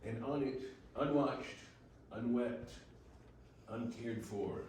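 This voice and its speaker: A man's voice: mid-range voice, slow pace, darkish tone. Male narrator in a very echoey room. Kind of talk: scripted story narration. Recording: very echoey room, distant mic, faint noise.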